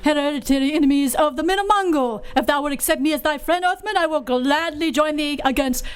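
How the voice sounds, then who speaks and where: A woman's voice: high-pitched, fairly quick, close to an everyday voice. A woman voicing a bold male warrior prince of the lion men in a live radio play, speaking in archaic, declamatory lines. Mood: friendly.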